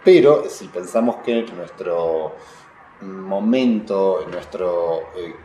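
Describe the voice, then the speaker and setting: A man's voice: low voice, expressive, slow, dark tone. A man with a Uruguayan accent speaking as an interviewed writer, reflective and digressive, often searching for the right word. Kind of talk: conversational literary interview, intellectual discussion. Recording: roomy echo, noticeable background noise.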